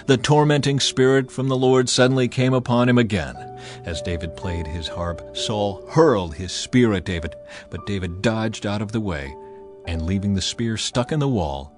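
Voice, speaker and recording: A man narrating a dramatized scripture reading in a measured, solemn storytelling manner. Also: on the low side.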